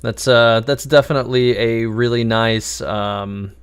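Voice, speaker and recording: A man's voice: mid-range voice, fairly even intonation, unhurried, smooth. A man streaming a video game, thinking aloud in easygoing, casual live commentary.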